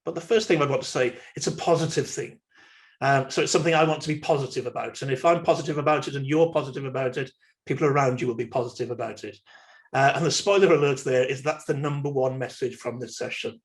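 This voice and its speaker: A Welsh man whose first language is Welsh, giving an online university lecture in a measured, thoughtful way with frequent ums and uhs, recorded on a computer microphone. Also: medium pitch. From Wales.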